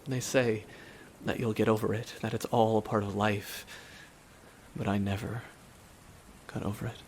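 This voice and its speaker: A man playing a bitter, weary supervillain in a dramatic ASMR audio roleplay, speaking deliberately with long pauses to a dying nemesis. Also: on the low side, close to an everyday voice, poor audio. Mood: wounded, bitter. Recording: steady rain noise behind the voice. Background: rain falling on surfaces.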